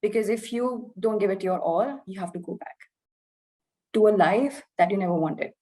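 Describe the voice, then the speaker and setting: A young woman from India talks through her experience in a candid, reflective way, her Indian English accent now mixed with British notes. Her voice sits at a middling pitch, and she often pauses and restarts as she searches for the right words. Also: mellow. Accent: Indian English, softened by years in the UK. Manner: reflective, earnest, many fillers and restarts. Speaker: interview guest. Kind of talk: candid conversational interview.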